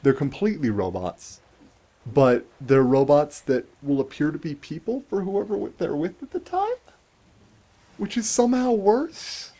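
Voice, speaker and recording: A man's voice: on the high side, very animated, a little slow, warm tone. A man giving casual game commentary as the host, explaining things in a conversational way. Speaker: gaming video host.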